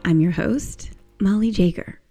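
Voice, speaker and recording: A woman hosting her own podcast with a croaky, hoarse voice, talking in an encouraging, gently instructive way about a movement lesson. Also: medium pitch, measured pace, soft background music. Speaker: podcast host and movement teacher. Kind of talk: casual podcast monologue.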